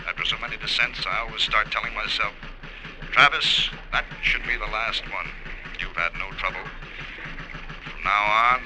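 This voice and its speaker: A man's voice: medium pitch, close to an everyday voice. Male actor as uneasy professional diver, mid-range voice, reflective, vintage radio drama with heavy noise. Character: Travis, uneasy professional deep-sea diver, talking by intercom. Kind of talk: 1940s-50s radio drama acting. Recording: noisy vintage radio, diving intercom sound.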